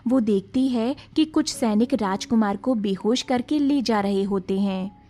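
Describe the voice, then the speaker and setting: Casting: woman storyteller for scripted cartoon narration, on the higher side in pitch. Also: unhurried, dark tone.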